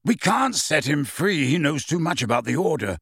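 A man's voice: fairly high pitch, big pitch swings, normal speed, husky, no echo, clean studio sound, pitched above the performer's own voice, exaggerated voice. A man voicing a proud grown man who speaks coldly and impatiently, raised a bit above his own voice. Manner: sharp, cold and impatient. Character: proud cold adult man, impatient and curt.

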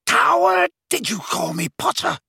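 A furious male wizard, as an audiobook narrator puts him on, pushes his voice high and loud. He taunts and commands with sneering contempt, swinging widely in pitch, in a dry close recording. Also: very animated, measured pace, vocal fry, no room sound, full character voice. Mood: outraged, taunting.

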